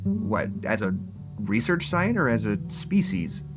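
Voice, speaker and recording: An actor playing an anxious, doubting colleague at a research site in an audio drama, hesitant and questioning. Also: male voice, medium pitch, very animated, unhurried, over loud music. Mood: skeptical.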